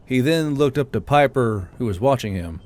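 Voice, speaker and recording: A man's voice: mid-range voice, animated, normal speed, darkish tone. A man reading scripted military fiction as an audiobook narrator.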